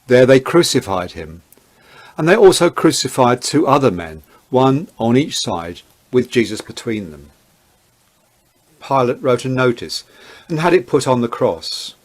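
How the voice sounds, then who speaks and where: Measured mid-pitched man narrating scripture. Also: big pitch swings. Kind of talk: scripted narration of a Bible reading.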